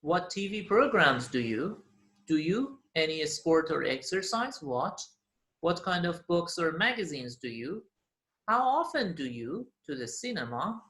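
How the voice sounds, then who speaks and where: A man's voice: fairly high pitch, sing-song, a little slow, fairly bright tone. A man teaching an English class, repeating model phrases and example answers. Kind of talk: classroom lesson. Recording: roomy echo.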